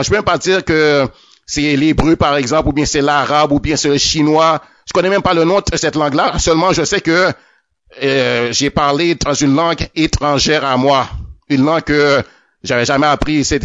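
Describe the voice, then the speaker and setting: A man's voice: a little high, a bit bright, dry recording. A man giving a spoken religious testimony, often stammering over and repeating his words as he goes. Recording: dull lo-fi, dry.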